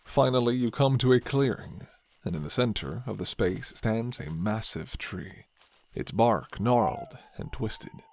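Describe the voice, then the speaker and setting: A man narrating a scripted fantasy story in the second person, with measured, dramatic storytelling. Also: medium pitch, lively intonation, darkish tone.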